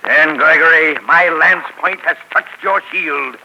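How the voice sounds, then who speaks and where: Scornful champion knight, high voice, slow drawl, muffled hissy radio sound. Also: male voice, gravelly. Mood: haughty. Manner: haughty, slow and mocking. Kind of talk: 1940s radio acting. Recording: noisy 1940s radio broadcast.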